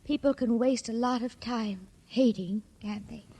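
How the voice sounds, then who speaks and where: A woman's voice: fairly high pitch, measured pace, warm tone, breathy edge. An actress playing a fluttery, scatterbrained, wistful eccentric woman in 1940s radio drama. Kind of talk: 1940s American radio acting.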